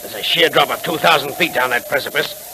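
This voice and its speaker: An actor playing a tough, blustering western henchman, gruff, curt and slangy, in 1930s radio style. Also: mid-range voice, a little fast, sharp tone. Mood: menacing. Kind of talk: 1930s radio crime-adventure acting. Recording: hissy vintage 1930s radio transcription.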